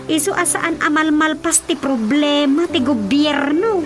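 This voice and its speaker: A woman acting a gossipy, expressive village woman with an Ilocano accent in a radio drama comedy. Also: high-pitched, unhurried. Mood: chatty, concerned. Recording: music bed behind the voice.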